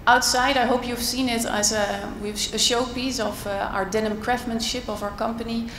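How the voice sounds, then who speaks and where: A woman's voice: on the high side, steady tone, normal speed, noisy background. Woman with many hesitant fillers. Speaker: panelist. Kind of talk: panel discussion. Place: large auditorium stage. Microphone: handheld mic. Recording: echoey hall with long reverb.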